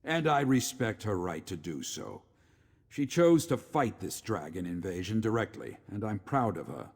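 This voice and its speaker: Middle-aged military commander, low gravelly voice, dutiful, weary, formal, lively intonation, video game dialogue. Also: male voice, full character voice. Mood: dutiful, regretful. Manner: measured, formal, authoritative.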